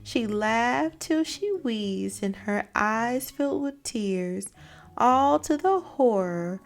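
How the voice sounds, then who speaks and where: A woman reading a children's picture book aloud with deliberate, dramatic phrasing. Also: on the high side, animated, vocal fry. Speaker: read-aloud storyteller.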